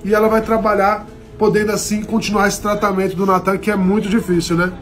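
A man's voice: sits high, flat delivery, fast. Earnest man filming a vlog. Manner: earnest, preachy addresses to viewers. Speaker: charity vlogger. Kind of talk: motivational vlog talk. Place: electronics store. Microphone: phone held at arm's length. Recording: music and store crowd behind.

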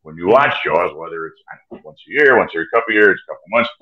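A man's voice: sits low, lively intonation, very fast, lo-fi sound. A man in his fifties hosting a casual video-call chat about films, asking loose, rambling questions. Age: 50s. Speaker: host. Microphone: webcam on video call.

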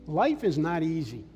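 Older man, preacher, earnest personal testimony, mellow tone, reverberant sanctuary. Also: a little high, dull audio. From Massachusetts. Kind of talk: sermon. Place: large church sanctuary stage. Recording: long hall reverb, soft music behind.

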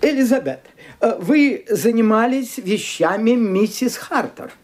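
A man's voice: extremely high pitch, animated, a little hiss or hum. An actor playing a fussy, hesitant lawyer who stumbles over his words in a radio play. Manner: halting, full of "uh" hesitations. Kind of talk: Soviet radio play acting.

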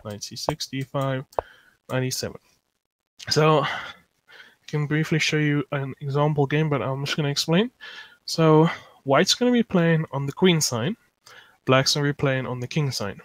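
A man from South Africa teaching chess live over an online call, pausing often with fillers as he thinks aloud and answers chat. The sound is dry and close, recorded at a desktop mic. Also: on the high side, dry recording, rough recording. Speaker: chess lecturer.

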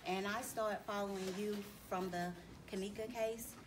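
A woman's voice: medium pitch, fairly even intonation, moderate pace. A middle-aged woman from Mississippi introducing herself casually to a group. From Mississippi, United States. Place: indoor meeting room. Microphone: phone a few metres away. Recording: distant phone pickup, crowd and noise.

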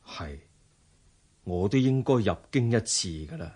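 An actor playing a humble, dignified Buddhist monk in a radio drama, speaking in a measured, formal and modest way. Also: male voice, fairly low pitch, lively intonation, a little mellow, husky. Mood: calm, courteous. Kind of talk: Cantonese radio drama acting.

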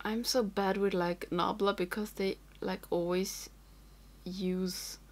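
A woman's voice: mid-range voice, level tone, takes it slowly, darkish tone. A young woman who speaks English as a second language, chatting through a makeup tutorial at home, rambling and thinking aloud, often dropping to a whisper as she works. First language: not English. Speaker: makeup vlogger. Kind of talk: casual tutorial chat. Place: small room at home with makeup shelves.

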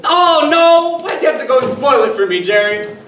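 A young man playing an excitable, teasing husband in a sitcom-style stage play. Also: extremely high pitch, moderate pace. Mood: alarmed. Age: young adult. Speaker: stage actor. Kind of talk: 1960s sitcom parody stage acting. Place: theater stage. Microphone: distant camera microphone. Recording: roomy, noisy distant stage recording.

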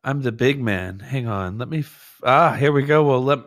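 A man's voice: medium pitch, normal speed, a bit bright. A man on a comedy podcast panel, bantering casually and reading posts aloud to the group.

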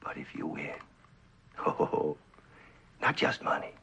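An actor playing a sly, cynical spy and false friend in a theatrical mid-century TV drama style. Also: male voice, bright, forceful tone. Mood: musing, cynical. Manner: theatrical, sly, musing with dramatic pauses and chuckles. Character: suave, cynical spy, a traitorous best friend who loves the game. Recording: dull old television soundtrack.

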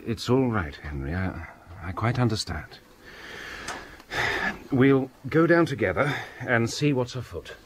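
A man playing a bookish, self-assured young English scholar in a radio drama, proper and slightly fussy, with a husky voice. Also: mid-range voice, lively intonation, a little slow, slight background noise, close to an everyday voice. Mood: reassuring.

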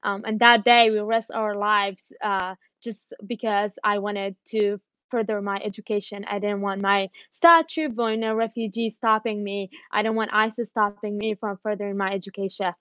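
A woman's voice: medium pitch, fairly even intonation, normal speed, pushed and bright, dry recording. A young woman from northern Iraq, a student activist, telling her story earnestly on a video call with frequent ums and restarts. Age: young adult. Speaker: guest speaker, education activist. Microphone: video call webcam. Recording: dull dry webcam sound.

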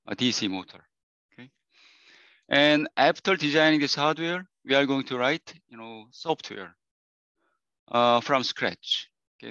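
Hoarse professor, bright lo-fi call voice. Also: male voice, medium pitch, no room sound. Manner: halting, repeats phrases, many fillers. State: hoarse, losing his voice. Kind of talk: online university lecture.

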